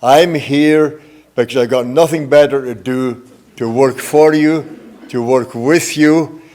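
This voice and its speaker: An older man speaks as a candidate at a forum, introducing himself in a measured, earnest, plain-spoken way. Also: medium pitch, fairly even intonation, darkish tone. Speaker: mayoral candidate. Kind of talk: prepared campaign speech at a forum.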